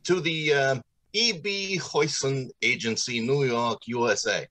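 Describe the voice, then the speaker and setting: A man's voice: mid-range voice, measured pace, pushed and bright. A middle-aged man playing a pompous, courteous factory general manager in old-time radio drama style, theatrical and businesslike. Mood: brisk, businesslike. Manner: theatrical, businesslike, hesitating with ums.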